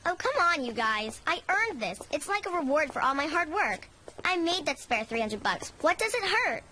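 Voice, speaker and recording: A woman's voice: high-pitched, sing-song, normal speed, pushed and bright, slight background noise, heavily acted. A woman voicing a stubborn, defensive young girl in a cartoon, sassy and exclamatory with a bratty edge. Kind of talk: animated cartoon voice acting.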